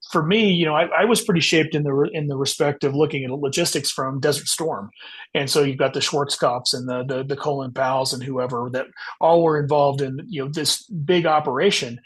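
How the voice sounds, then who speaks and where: Slightly husky, higher-pitched man, thinking aloud. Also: fairly bright tone. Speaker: author, podcast guest. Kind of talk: casual podcast interview.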